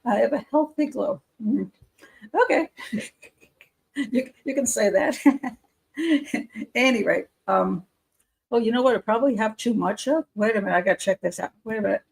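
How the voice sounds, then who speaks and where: A woman who works as a criminal profiler hosts a casual live members' show, rambling and chatty, losing her voice and clearing her throat. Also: fairly high pitch, moderate pace, pauses often. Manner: chatty and rambling, with frequent fillers and soft laughs. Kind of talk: casual live-stream chat.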